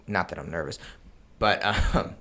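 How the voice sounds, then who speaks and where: Low-pitched man in casual explanatory chat. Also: measured pace, vocal fry, bright, forceful tone. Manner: informal, frequent filler words and self-interruption. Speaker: podcast host giving game master advice.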